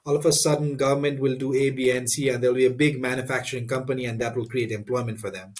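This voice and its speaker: A man, a guest in an interview, answering thoughtfully with many pauses, fillers and restarts. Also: medium pitch, little pitch movement.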